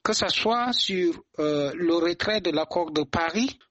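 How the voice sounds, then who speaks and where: Husky-voiced man giving radio commentary. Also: high voice, expressive, fairly bright tone, no echo, highs cut off. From Chad. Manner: measured, hesitant with frequent fillers. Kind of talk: testimony on a radio program.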